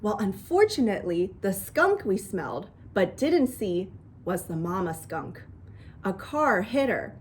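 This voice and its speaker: A woman voicing a calm, patient, gently firm mother who explains things soothingly in a storybook reading. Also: medium pitch, a little hiss or hum.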